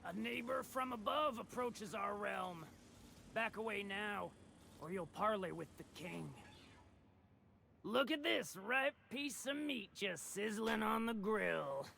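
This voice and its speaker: A man's voice: high-pitched, animated, gravelly, bright, forceful tone, slight background noise, exaggerated voice. A man playing a grandiose, taunting outlaw gang boss who styles himself a king, theatrical video game villain dialogue. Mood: gloating menace. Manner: grandiose, taunting, drawn-out delivery.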